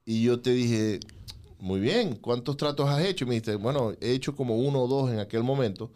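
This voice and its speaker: Male podcast host, forties, conversational interviewer with a medium-pitched voice. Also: fairly quick, darkish tone. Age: 40s.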